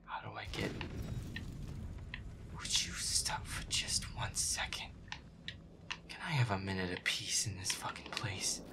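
A man muttering irritably and swearing to himself as he gives commentary on a horror game, his voice breathy and often half-whispered. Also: deep voice, very animated, a little slow, pauses often. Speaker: gamer playing in character.

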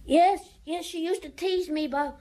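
A woman's voice: very high-pitched, steady tone, a little slow, dark tone. A woman playing a guilty young village boy in a radio drama, talking in a plain, childlike, confessional way. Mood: uneasy, confessing. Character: guilty, confiding young French village schoolboy.

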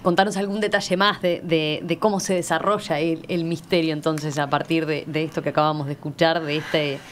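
Woman interviewer, slightly low voice. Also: steady tone, slight background noise. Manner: halting, searching phrasing. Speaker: radio interviewer. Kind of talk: conversational radio interview. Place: radio studio. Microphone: studio microphone.

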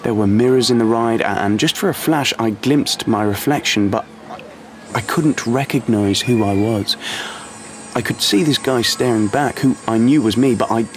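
An actor playing a dreamy, unsettled young British man in an audio play, halting and reflective. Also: medium pitch, slight background noise, natural voice. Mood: wistful. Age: young adult. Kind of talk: audio play monologue with dialogue.

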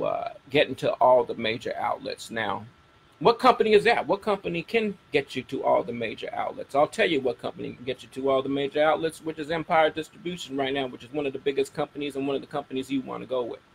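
A man's voice: mid-range voice, vocal fry, a little hiss or hum, poor audio. A middle-aged American man hosting a show, talking casually to his audience and repeating key phrases for emphasis. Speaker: radio show host. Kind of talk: casual talk to a broadcast audience.

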